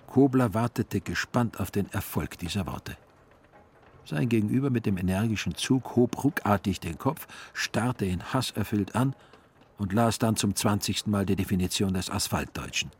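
A man narrating a literary radio play in a husky voice. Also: fairly low pitch, moderate pace, a little mellow.

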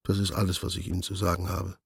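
Radio drama role: pedantic, commanding bureaucrat, lowish voice, very dry close sound. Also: male voice, completely flat delivery, quick pace, vocal fry, slightly rough, no room sound, exaggerated voice. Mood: commanding, dismissive. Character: curt, imperious male senior official giving orders. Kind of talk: German radio drama acting.

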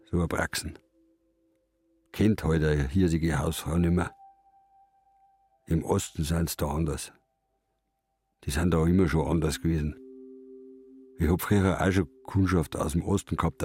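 Gruff old Bavarian fisherman for radio drama: low, dark, laconic, grumbling. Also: fairly even intonation, a little slow, pauses often. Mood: musing, grumbling. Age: older. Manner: laconic, grumbling dialect speech. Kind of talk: radio drama, part narration in third person.

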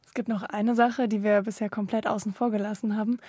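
A woman's voice: medium pitch, little pitch movement, fast talker, dark tone, dry recording. A woman hosting a cultural radio interview, putting questions to her guests. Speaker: interview host.

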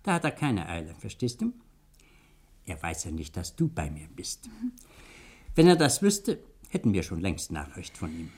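An actor playing an older, avuncular judge in a 1960 German radio play, fond, soothing and teasing. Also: male voice, low voice, wildly swooping pitch, normal speed, darkish tone, full character voice. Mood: genial, fussy.

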